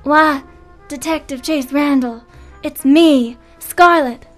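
A woman doing a high, excitable young informant from a comic radio play. She delivers every line with breathless melodrama. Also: slow pace, soft background music. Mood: melodramatic. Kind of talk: comic radio noir acting.

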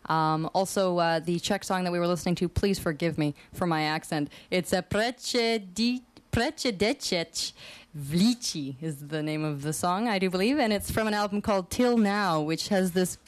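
A woman's voice: fairly low pitch, moderate pace, no room sound. A woman hosting a radio interview, hesitant, with many ums and restarts. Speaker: radio show host.

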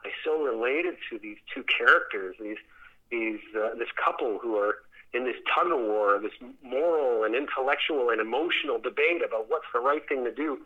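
A man speaking as a theatre director in a conversational interview, reflective and halting, often repeating words as he thinks. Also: medium pitch, narrow, tinny audio.

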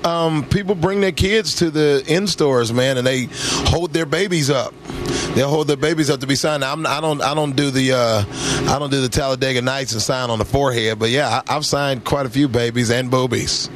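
A man's voice: medium pitch, normal speed. A man chatting casually as a musician being interviewed, slangy and a bit hesitant. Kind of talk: casual chat. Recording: clear background noise on location.

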